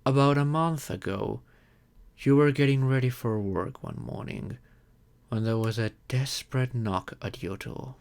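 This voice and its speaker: A man playing a wealthy, grateful vampire in an ASMR audio roleplay, speaking in a gentle, courteous and measured way. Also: medium pitch, creaky, smooth. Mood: solemn storytelling. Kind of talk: slow intimate ASMR roleplay monologue.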